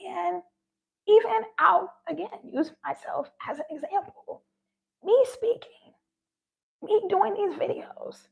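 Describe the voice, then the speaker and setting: A woman's voice: very high-pitched, creaky, muffled recording. A woman giving a heartfelt spiritual talk, her voice shaky and sounding close to tears. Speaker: spiritual vlogger.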